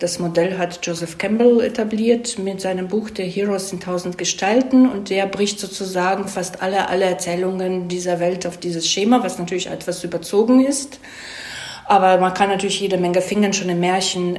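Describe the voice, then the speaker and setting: A woman's voice: fairly low pitch, a little mellow. A woman explaining her craft conversationally as an interviewed screenwriter, feeling for phrases and often ending on tag questions. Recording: roomy, echoey sound.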